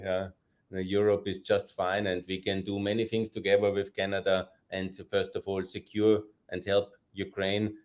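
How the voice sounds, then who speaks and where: Male podcast host, low voice, emphatic, chuckles between points. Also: a little mellow. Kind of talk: political interview talk.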